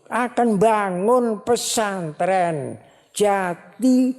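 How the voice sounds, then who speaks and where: Slow-preaching woman in a reverberant hall. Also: medium pitch, lively intonation, a little mellow. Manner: slow, repetitive, emphatic preaching. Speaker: religious teacher. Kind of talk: sermon at a ceremony.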